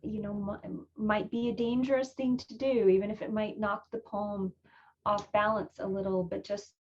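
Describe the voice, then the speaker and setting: A woman's voice: medium pitch, steady tone, normal speed, darkish tone, a little hiss or hum. Woman poet talking, slightly muffled call audio. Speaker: poet on a panel. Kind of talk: casual panel discussion. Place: remote video call. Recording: dull, lo-fi video call audio.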